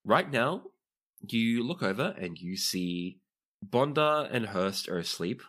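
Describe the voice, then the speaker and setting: A man with a low-pitched voice serves as game master. He describes the scene to his players in conversational tabletop narration. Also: sing-song, takes it slowly, a bit bright.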